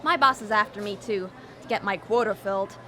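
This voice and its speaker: A woman voicing a gentle, encouraging spirit of virtue in a radio drama. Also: mid-range voice, fairly quick, slight background noise, close to an everyday voice. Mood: calm, wry. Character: kindly female virtue spirit named Temperance, encouraging guardian of conscience.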